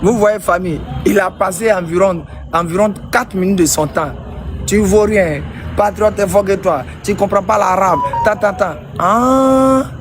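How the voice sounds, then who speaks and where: A man's voice: high-pitched, moderate pace, music in the background. A man debating live online, sarcastic and mocking toward his opponent while addressing his viewers directly.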